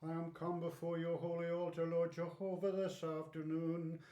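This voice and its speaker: An actor playing a humble old priest praying solemnly and reverently in a radio drama. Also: male voice, fairly high pitch, completely flat delivery, moderate pace, warm tone, reverberant, close to an everyday voice. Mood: solemn, devout. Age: older.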